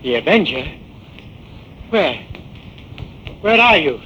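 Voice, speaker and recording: An actor playing a crazed, greedy old smuggler with a slow, menacing delivery in 1940s radio melodrama. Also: male voice, a little high, sing-song, bright, forceful tone, heavily acted. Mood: panicked, threatening. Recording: muffled, noisy 1940s radio recording.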